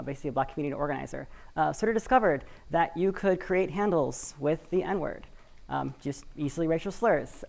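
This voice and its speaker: Woman from washington state, low voice, gravelly, casual conference speaker, lots of ums and sort-ofs. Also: a little mellow, low-quality recording. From Washington State, USA. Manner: conversational, many fillers and hedging words. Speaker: conference speaker for a community cooperative. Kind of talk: live conference talk. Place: conference stage, in front of an audience.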